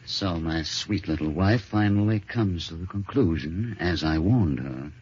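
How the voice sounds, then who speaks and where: A very deep, measured voice a graying middle-aged husband in an old radio play. He speaks formally and theatrically, with bitter restraint, on a dull recording with some noise. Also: darkish tone. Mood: bitter, resigned. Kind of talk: old-time radio drama acting.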